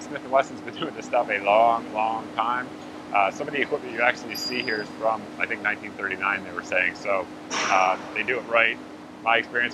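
A man's voice: fairly low pitch, noisy background. A man, casually talking viewers through a factory tour and then showing gear in an office, with lots of fillers and self-corrections. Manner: relaxed, chatty, many fillers and self-corrections. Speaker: gun channel host. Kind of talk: casual walkthrough vlog. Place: loud factory floor, then a small office room.

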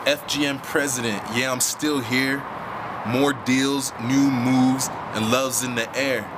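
A man's voice: medium pitch, fairly even intonation, slow, rough recording. A man rapping a verse in a rhythmic flow outdoors. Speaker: rapper. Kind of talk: rap verse. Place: outdoors by a house doorway.

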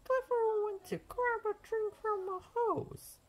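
Very high-pitched woman reading a storybook. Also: fairly even intonation, slow pace. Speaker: children's book reader. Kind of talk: animated storybook read-aloud.

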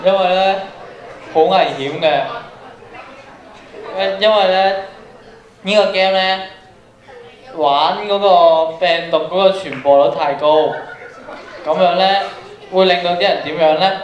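A man's voice: sits high, fairly even intonation, unhurried, pauses often, a faint crowd. A young man with a Hong Kong Cantonese accent improvising an answer. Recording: dull, echoey auditorium audio.

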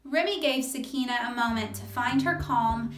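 A woman in her thirties hosting story time for young children, expressive, animated and encouraging, in simple phrases. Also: fairly high pitch, moderate pace. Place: small room with cloth backdrop. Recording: roomy echo, music behind.

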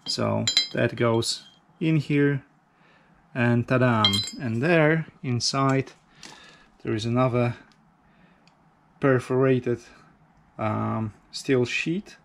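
A man casually narrating a DIY vlog in an informal, halting, self-deprecating way. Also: mid-range voice, steady tone, gravelly, slight background noise. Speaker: motorcycle hobbyist, video host.

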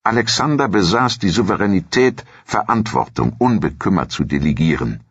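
A man narrates a documentary in an extremely low voice with a husky grain. His delivery is formal and measured, like scripted storytelling. The recording is dry and a little dull. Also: lively intonation, dry recording. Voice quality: gravelly, husky.

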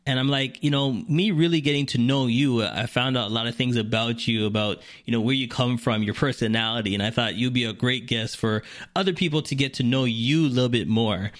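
A man's voice: mid-range voice, brisk, few pauses. A man hosting a podcast, asking questions in a loose, rambling way. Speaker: podcast host. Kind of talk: casual interview.

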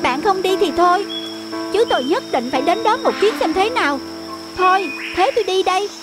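A woman voicing an eager, stubborn, curious young rooster in an animated children's story, insistent and exclaiming. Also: very high-pitched, brisk, over loud music. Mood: dismissive, determined.

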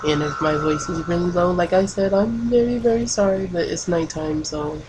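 A woman doing casual game commentary, keeping her voice hushed at night with bursts of excitement. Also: fairly low pitch, moderate pace, noisy background. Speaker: let's play gamer. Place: home at night.

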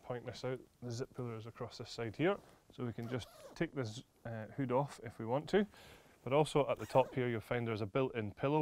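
A man's voice: medium pitch, a little mellow, no room sound. A man walks through a product's features, explaining each one as he handles it. His voice sits in a middle range. The sound is dry and close, from a studio set. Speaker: product presenter. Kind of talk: product demonstration. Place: indoor studio set with camping display.

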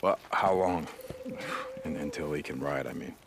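An actor playing a gruff, guarded biker drifter in a video game, speaking tersely and muttering. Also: male voice, a bass voice, moderate pace, noisy background.

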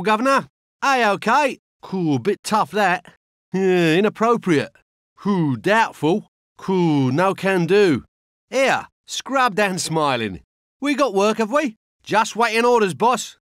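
An actor playing an easygoing, matter-of-fact infantry soldier in a video game, talking in a laid-back, colloquial way. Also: male voice, high-pitched, fairly bright tone, no room sound, studio quality, exaggerated voice. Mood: easygoing, ready. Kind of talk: video game unit barks.

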